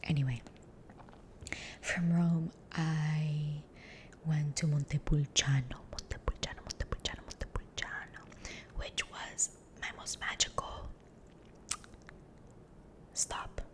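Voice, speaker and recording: A young woman whispering a rambling story close to the mic, softly repeating words to herself. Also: sits low, steady tone, slow pace, pauses often. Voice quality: unvoiced whisper.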